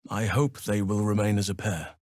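A male actor voices a wise druid from a fantasy video game, gentle yet solemn, with a wild side. His voice is fairly low and recorded close and dry. He phrases things formally and earnestly, in measured sentences. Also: fairly even intonation, no echo, natural voice. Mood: calm, grave. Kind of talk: video game character acting.

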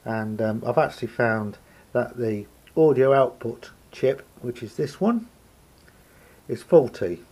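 A man with a gravelly, husky voice gives an unscripted hands-on demonstration, thinking aloud hesitantly as he explains. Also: mid-range voice, slow, dark tone. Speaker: hobbyist radio repairer. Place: indoor room at a table.

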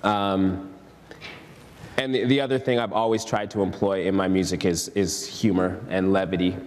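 A young man, a musician, speaking on a discussion panel in a relaxed, reflective way with frequent ums. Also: low voice, moderate pace, in a large hall, a little hiss or hum. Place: TV-style studio set.

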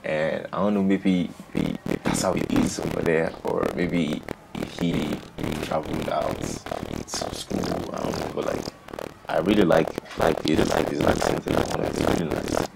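A man's voice: a bass voice, animated, unhurried, creaky, heavy background noise. A young man from Nigeria with a Nigerian accent, chatting casually and self-mockingly as he reacts to a song in a small bedroom studio. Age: young adult. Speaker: reaction video host. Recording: song playing loudly behind. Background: loud music.

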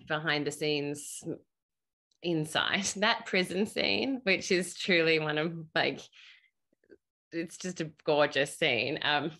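A woman speaking as a director on a video-call panel, telling a casual behind-the-scenes story in an animated way. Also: deep voice, slow. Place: indoors at home on a video call. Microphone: video call microphone.

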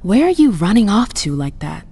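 A woman's voice: medium pitch, sing-song, normal speed, dark tone, slightly rough. A woman playing a cool, world-weary vampire club owner in a video game, sardonic and self-assured. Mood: wry.

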